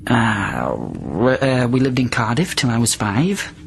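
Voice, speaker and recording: An actor playing a desperate confined patient from the past in a radio drama, speaking in an emotional, halting, plaintive way. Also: male voice, mid-range voice, big pitch swings, creaky, a little hiss or hum, full character voice. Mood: tentative. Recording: radio drama with music underneath.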